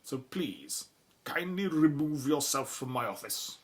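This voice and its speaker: Stubborn scholar, haughty, curt, condescending, medium pitch, animated delivery, audio drama. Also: male voice, unhurried. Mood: cold, dismissive. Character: stubborn, haughty male academic rival.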